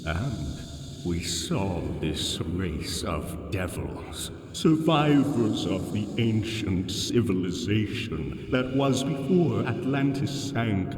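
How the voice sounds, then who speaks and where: An actor playing an ancient, enslaved alien god in a fantasy audio drama, solemn and sorrowful, with grandiose archaic phrasing. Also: male voice, sits low, animated, slow. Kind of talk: full-cast fantasy audio drama monologue. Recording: cavernous echoing reverb, distant, music underneath. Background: music.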